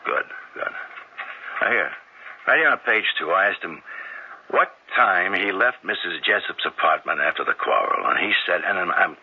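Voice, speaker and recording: Dogged police sergeant, deep husky voice that swings widely, old dull radio sound. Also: male voice, animated, heavily acted. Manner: coaxing, probing, halting restarts. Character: persistent male police detective sergeant, middle-aged. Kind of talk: 1970s radio drama acting.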